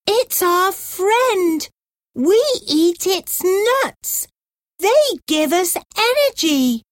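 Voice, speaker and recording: A woman voicing a little monkey begging to save the tree, in a high, lively put-on voice. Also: drawn-out words, no echo, clean studio sound, exaggerated voice. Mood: pleading. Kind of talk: storybook reading for pupils.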